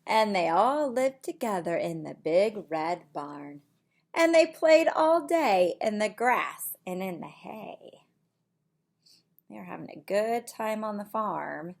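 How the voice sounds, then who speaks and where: A middle-aged woman reads a picture book aloud like a preschool teacher. Her pace is slow and sing-song, with a creaky edge to the voice. She adds simple asides for little listeners. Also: medium pitch, lively intonation. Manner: deliberate, sing-song reading with simple asides and counting questions. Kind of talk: picture-book read-aloud for young children. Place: small indoor room at home.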